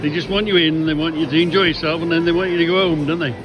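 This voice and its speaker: A man's voice: fairly high pitch, steady tone, fast, dull audio. A man chatting casually as a vlogger, rambling, repeating himself and putting questions to the listener. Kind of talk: casual chatty vlog commentary. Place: out on a city street and inside a busy theatre auditorium. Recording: background music and crowd chatter in public spaces.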